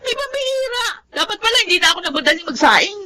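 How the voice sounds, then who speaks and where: A woman's voice: extremely high pitch, big pitch swings, quick pace, sharp tone, a little hiss or hum, full character voice. An actress playing a brash, carefree young Filipino mother in a radio drama, talking in a boastful, breezy, exclamatory way. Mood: breezy, bossy.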